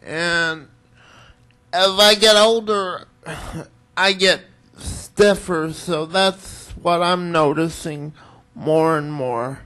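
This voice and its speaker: A man's voice: a little high, drawn-out words, long pauses, bright, forceful tone, clear, resonant tone. A man in his forties from Washington, DC, introducing himself in a thoughtful way. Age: 40s. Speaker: conference attendee. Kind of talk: self-introduction.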